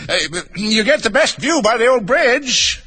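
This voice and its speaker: Timid elderly king for a storybook: very high, shaky, flustered, stammering over repeated words. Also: big pitch swings, takes it slowly, pushed and bright, full character voice. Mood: nervous, rambling. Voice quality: quivering.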